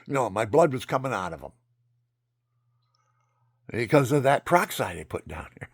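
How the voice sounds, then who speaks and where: A man telling a rambling personal story in a monologue, jumping between loud outbursts and low mutters. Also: very animated, moderate pace, husky, no room sound, clean studio sound. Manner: calls himself low-voiced.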